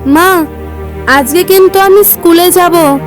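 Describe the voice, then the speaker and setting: High-voiced, kind, slow-speaking schoolgirl played by a woman. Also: music in the background. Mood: pleading. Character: kind-hearted, earnest schoolgirl in a love story. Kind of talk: audio story acting.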